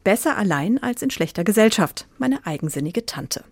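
A woman's voice: mid-range voice, animated, normal speed, no room sound. A woman hosting a radio programme, presenting from a script. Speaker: radio host.

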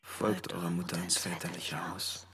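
Gravelly, grave tragic figure. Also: male voice, sits low, flat delivery, moderate pace, a bit bright, full character voice. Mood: grave pleading. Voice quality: raspy, gravelly. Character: grave, pleading tragic figure from ancient Greek drama. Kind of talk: classical tragedy radio acting.

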